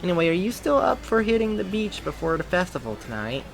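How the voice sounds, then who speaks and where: A man voicing a lively, affectionate young woman who works as a reporter, raising his voice higher for her. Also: quick pace, gravelly, faint music behind, full character voice, rough recording. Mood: chatty. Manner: raised, excited pitch.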